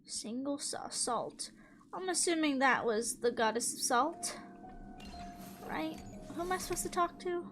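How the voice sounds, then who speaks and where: A young woman with a high voice hosts a game playthrough. She comments casually and playfully, with expressive reactions, while game music plays clearly behind her. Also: a little slow. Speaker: gaming video host.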